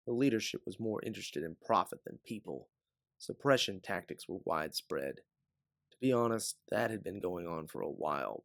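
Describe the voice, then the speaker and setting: A man playing an older, protective bounty hunter mentor in an audio drama, speaking in an earnest, measured way. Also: low-pitched, gravelly, dry recording, clean studio sound, exaggerated voice. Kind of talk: dramatic audio fiction acting.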